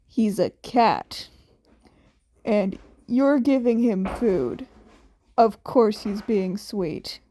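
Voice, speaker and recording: A woman voicing a weary, blunt adult male hero in a fan fiction audiobook, with a deadpan manner. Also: medium pitch, drawn-out words.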